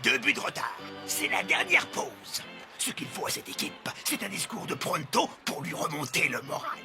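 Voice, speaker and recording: An actor playing a boastful, excitable, self-important little male motivator in a dubbed cartoon. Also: high voice, normal speed, creaky, music in the background, exaggerated voice. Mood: pompous. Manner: pompous. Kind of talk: animated cartoon dub acting.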